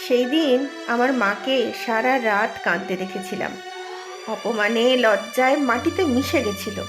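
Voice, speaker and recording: Cartoon role: woman as principled, forgiving mother, high pitch, conciliatory, clear musical backing. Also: moderate pace, music in the background, lightly acted. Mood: reproving, sad. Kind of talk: cartoon voice acting.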